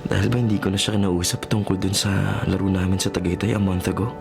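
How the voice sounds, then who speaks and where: Lovesick young Filipino over music. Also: male voice, sits low, level tone, fast talker, gravelly. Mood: brooding. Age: young adult. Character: lovesick, clingy, jealous young Filipino man. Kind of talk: Tagalog radio drama acting.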